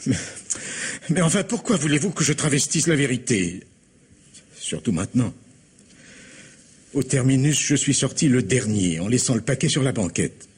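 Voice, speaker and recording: An actor playing an earnest, bereaved middle-aged husband in a vintage French radio drama, grave, halting and formal. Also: male voice, medium pitch, sing-song, breathy edge. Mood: earnest, protesting. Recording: faintly noisy old radio recording.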